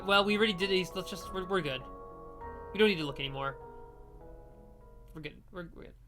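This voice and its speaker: A woman's voice: fairly low pitch, a little fast, a bit bright, soft background music. A woman hosting a let's play, giving casual, rambling, jokey commentary on a visual novel. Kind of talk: casual game commentary with character reading.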